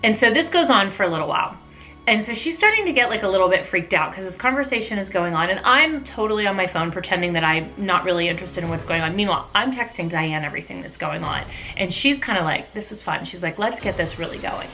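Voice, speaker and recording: A middle-aged woman telling a personal story casually to camera, animated and gossipy, acting out the dialogue as she goes. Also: mid-range voice, quick pace, faint music behind.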